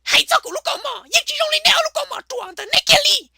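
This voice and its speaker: A woman voicing an excitable, shrill comic cartoon character with a squeaky, creaky voice, prone to bursting into shouts. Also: quick pace, no room sound, studio quality, full character voice. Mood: chattering.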